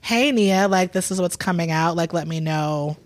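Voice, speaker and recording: A woman's voice: fairly low pitch, normal speed, dry recording. A woman in her thirties, a guest on a podcast, chatting casually and telling playful, gossipy stories with quoted voices. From Boston, raised in Atlanta. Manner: animated storyteller who acts out quoted dialogue, playful and gossipy.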